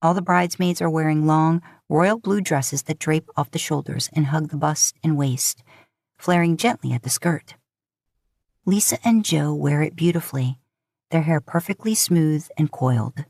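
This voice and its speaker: Low, gravelly woman narrating fiction. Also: moderate pace, darkish tone, no echo, studio quality. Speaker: audiobook narrator. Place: close, dry recording.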